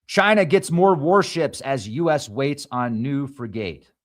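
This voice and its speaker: A man's voice: mid-range voice, lively intonation, a little slow. Man reading news with offhand asides. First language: not English. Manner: reads articles aloud, stumbles on names, adds asides. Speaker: show host. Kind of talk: reading news headlines with commentary.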